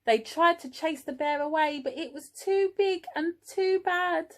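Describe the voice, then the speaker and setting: A woman reading a picture book aloud to young children, expressively, pausing for little asides to the listeners. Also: very high-pitched. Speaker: early years teaching assistant reading a storybook.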